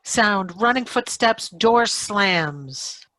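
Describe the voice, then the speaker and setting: A woman's voice: medium pitch, animated, very slow. A woman announcing sound-effect cues for a radio script in clipped phrases, recorded on a noisy video call.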